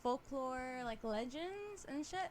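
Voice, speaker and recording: A woman's voice: high voice, lively intonation, slow, a little mellow, a little hiss or hum. A young woman, a musician guesting on a podcast, chatting casually with lots of fillers and swearing. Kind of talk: casual chat.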